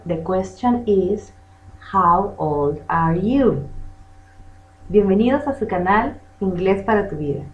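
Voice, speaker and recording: An echoey, slightly dull recording of a native Spanish-speaking woman teaching a language lesson. She speaks slowly and deliberately with a very lively, swinging intonation, repeating phrases for learners to copy. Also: mid-range voice. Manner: bilingual, switches between English and Spanish, has listeners repeat; deliberate, drills phrases by repetition. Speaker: English teacher, channel host. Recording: muffled lo-fi sound in echoey room.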